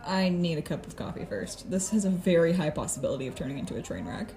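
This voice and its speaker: A woman's voice: deep voice, very animated, fast talker, mellow. A woman acting a sardonic, matter-of-fact superpowered team planner in an audio drama. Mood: wry, fond. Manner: casual, swearing, matter-of-fact. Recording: clear background noise.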